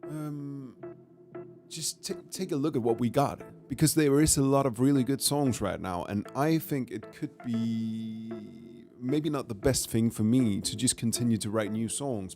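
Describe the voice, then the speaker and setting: A man, hoarse after a cold, chatting casually to a livestream from his studio and thinking aloud with many pauses and fillers. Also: medium pitch, faint music behind. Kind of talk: casual chat to a livestream audience. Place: music studio. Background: his own track playing quietly underneath.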